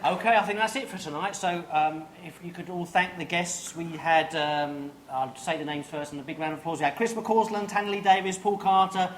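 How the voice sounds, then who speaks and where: A man co-hosting a comedy podcast who wraps up the show in a brisk, upbeat way. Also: a little high, steady tone, slight background noise, poor audio. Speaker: co-host. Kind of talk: wrapping up a podcast.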